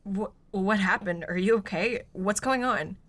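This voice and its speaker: A woman's voice: medium pitch, a little slow, fairly bright tone. Woman doing an anxious squeamish gal in an audio drama, flustered n sorry, takes her time. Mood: alarmed, concerned. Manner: hesitant, flustered, apologetic. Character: Mariah, anxious, squeamish woman trying to be supportive. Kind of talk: scripted amateur audio drama acting.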